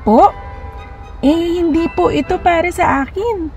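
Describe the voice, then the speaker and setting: A woman's voice: very high-pitched, unhurried, darkish tone, faint music behind, pitched above the performer's own voice. A woman voicing a sweet, polite little daughter with a childlike way of talking, in a storybook reading. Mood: shy, eager.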